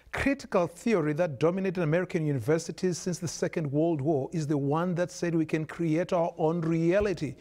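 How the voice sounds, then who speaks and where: Male guest author from Zimbabwe, high pitch, earnest lecturing tone with stumbles. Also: dark tone. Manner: earnest, emphatic, lecturing, stumbles and repeats words. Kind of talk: studio talk show discussion.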